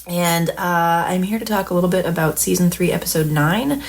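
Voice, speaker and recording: A woman with a hoarse voice, chatty and enthusiastic, presenting a recorded segment for a podcast. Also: lowish voice, a little fast, darkish tone.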